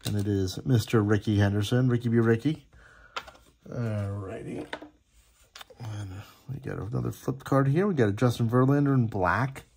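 Gravelly-voiced man chatting through a hobby video. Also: medium pitch, moderate pace, darkish tone, slight background noise. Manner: chatty, appreciative, reading names aloud. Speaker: host opening sports card packs. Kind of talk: casual running commentary. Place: indoor room at a tabletop.

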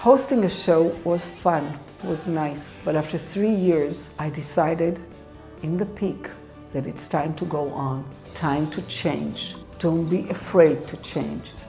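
Low-voiced Israeli woman in her sixties. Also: slow, warm tone. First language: Hebrew. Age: 60s. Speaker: presenter telling her life story. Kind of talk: reflective personal narration. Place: indoor studio set with curtain backdrop. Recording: roomy echo with faint background music.

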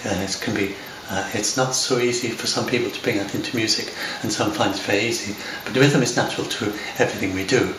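Older English man, low-ish voice, halting chat to camera, distant, noisy home recording. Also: echoey room. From lives in Scotland. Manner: rambling, hesitant, many fillers and restarts. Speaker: software developer talking to camera. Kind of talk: unscripted talk to camera. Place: home room by large windows. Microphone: far camera.

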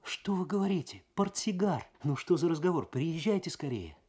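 Man putting on an excitable young woman, raised pitch. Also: moderate pace, slightly rough. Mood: surprised. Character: excitable, curious young woman museum worker.

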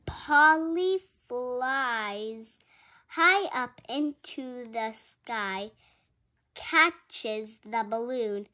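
A very young female reader going through a picture book aloud haltingly, sounding out words and adding excited asides. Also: a little high. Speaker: reader in a children's read-along video.

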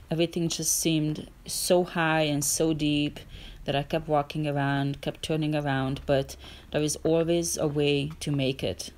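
A woman's voice: low-pitched, a little mellow, a little hiss or hum. A woman narrating her hiking vlog in a casual, earnest, encouraging way, with small restarts. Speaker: hiking vlogger.

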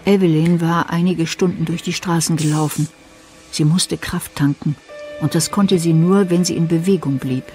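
Mellow, low-voiced woman narrator. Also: steady tone, moderate pace, soft background music. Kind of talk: scripted radio play narration.

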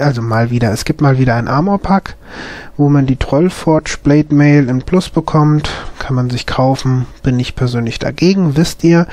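A man with a slight cold, commentating casually on a game as host, often pausing to search for words. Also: mid-range voice, darkish tone, no echo. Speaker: gaming video host.

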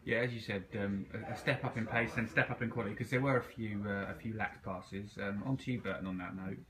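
A man's voice: fairly low pitch, steady tone, quick pace, noisy background. A man hosting a casual post-match interview for club TV, hesitant and jokey, recorded by a camera in a small clubhouse room. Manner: jokey, hesitant with many ums.

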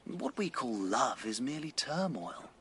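An actor playing a proud, melancholy, impoverished Victorian writer in a radio drama, with an earnest, literary English delivery. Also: male voice, mid-range voice, sing-song, a little slow, a bit bright, a little hiss or hum. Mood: wistful, brooding. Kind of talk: BBC radio drama acting.

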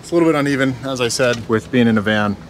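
A man's voice: mid-range voice, rapid-fire, a little hiss or hum. A young man in his twenties hosting a casual vlog from inside a camper van, talking into a handheld action camera and sounding eager and easily impressed. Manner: enthusiastic, easily impressed, laughs often. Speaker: vlogger host. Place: inside a camper van kitchen, parked outdoors. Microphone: action camera held at arm's length.

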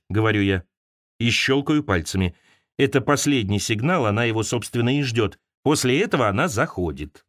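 A man's voice: mid-range voice, a bit bright, dry recording, studio quality. A man narrating an audiobook, reading a personal literary essay aloud as scripted narration.

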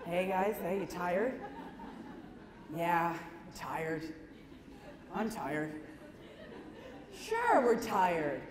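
A middle-aged woman giving a stand-up comedy talk to an audience, emphatic and conversational, with dramatic pauses. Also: on the low side, very animated. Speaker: comedian, keynote speaker. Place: large conference ballroom stage. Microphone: handheld microphone. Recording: echoey hall PA, some noise.

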